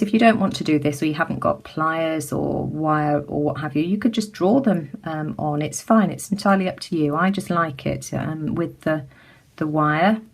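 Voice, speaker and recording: A middle-aged British woman with a slightly croaky voice, casually talking through a craft demonstration with lots of ums and restarts. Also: lowish voice, a little mellow. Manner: chatty, many ums and restarts. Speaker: craft tutorial host. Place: home craft table.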